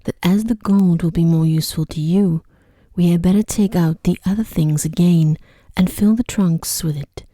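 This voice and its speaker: A woman voicing a brave, sweet, dutiful young daughter in a fairy-tale bedtime story, gentle and polite. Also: fairly low pitch, moderate pace, no room sound, clean studio sound, lightly acted. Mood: thoughtful.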